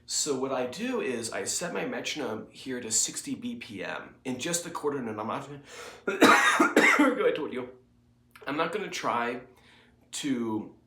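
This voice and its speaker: A man's voice: mid-range voice, pushed and bright, roomy sound. A man with a cold, sounding congested, talking a student through a guitar lesson in a loose, rambling way full of fillers. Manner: rambling, filler-heavy explanations. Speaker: guitar teacher. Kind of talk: casual recorded lesson to a student. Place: home music studio.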